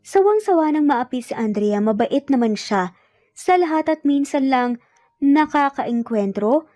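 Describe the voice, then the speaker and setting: A woman's voice: sits high, warm tone, no room sound. A woman reading a scripted romance story as its narrator. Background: background music.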